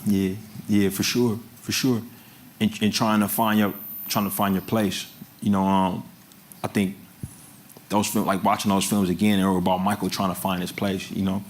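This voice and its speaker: Low-voiced man, halting and laughing. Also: flat delivery. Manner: halting, many restarts and fillers, laughs often. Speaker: film director guest. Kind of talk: casual interview conversation.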